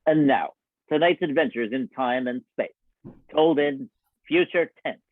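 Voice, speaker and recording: A man announcing and narrating from a script in old-time radio style, with a theatrical, deliberate delivery. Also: medium pitch, slight background noise. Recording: dull, band-limited radio broadcast sound.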